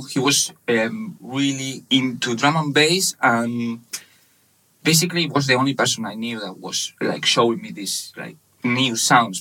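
A man in his thirties from Spain with a Spanish accent, speaking English as a second language, telling his story haltingly in a casual interview with many fillers. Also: medium pitch, sharp tone, low-quality recording. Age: 30s. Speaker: guest, webshop manager.

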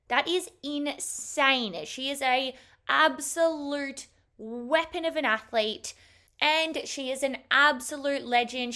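An Australian woman hosting a health podcast, enthusiastic and gushing, her voice a bit croaky from an early start. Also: sits high, bright, forceful tone. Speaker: podcast host, health teacher. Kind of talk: upbeat podcast interview.

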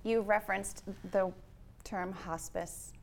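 A woman's voice: mid-range voice, steady tone, takes it slowly, creaky, a little hiss or hum. A woman hosting an interview, asking reflective, chatty questions. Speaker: interview host. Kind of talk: casual interview conversation.

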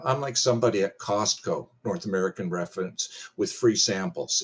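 An older man with a deep, somewhat husky voice talks casually as a guest on a show. He rambles from point to point, poking fun at himself with dry humor. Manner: rambling, self-deprecating, wry humor. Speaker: pitching guest on a game panel show. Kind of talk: casual chat.

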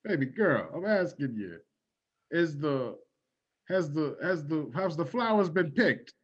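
Halting, lecherous drunk man acted by a comedian, muffled. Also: a little high, very animated, slightly rough, heavily acted. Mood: leering, tipsy. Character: drunken lecherous male patron speaking in innuendo. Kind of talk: improvised comedy sketch.

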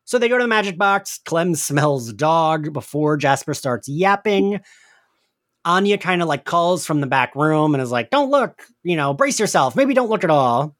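A man's voice: fairly high pitch, a bit bright, no echo, clean studio sound. A man hosting a podcast, casually recapping an episode in informal, chatty speech peppered with "like". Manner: informal, frequent "like" and swearing. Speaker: podcast host.

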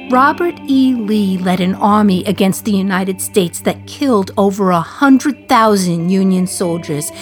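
A woman playing a wry, defiant condemned prisoner. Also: mid-range voice. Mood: sardonic. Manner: wry, sardonic, confiding to the listener. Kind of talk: radio play monologue.

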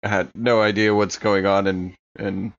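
A man playing a tabletop role-playing game, improvising casually and haltingly. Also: lowish voice, flat delivery, dull audio. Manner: hesitant, halting. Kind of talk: improvised casual in-character play.

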